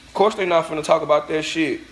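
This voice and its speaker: A man's voice: fairly high pitch, fairly even intonation, fast talker, a little hiss or hum, rough recording. A man delivering a blunt, profane, preachy rant straight at his viewers in a vlog. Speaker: vlogger. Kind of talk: ranting monologue to camera.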